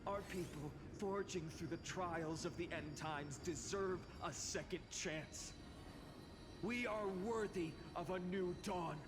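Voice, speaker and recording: A man's voice: sits high, takes it slowly. A man voicing a noble, earnest male hero in a game, with formal and solemn declamation. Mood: solemn conviction. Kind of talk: dramatic scripted game dialogue. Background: game music.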